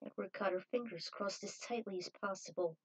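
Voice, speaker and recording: A woman's voice: mid-range voice, a little fast, rough recording. Woman narrating a dramatic story. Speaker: fan fiction writer reading a story aloud.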